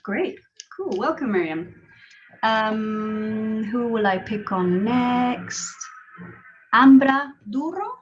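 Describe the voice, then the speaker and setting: A woman moderating a video call, slowly calling on participants in turn. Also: on the high side, lively intonation. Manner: slow, calling on people in turn. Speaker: session moderator. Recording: dull lo-fi call audio.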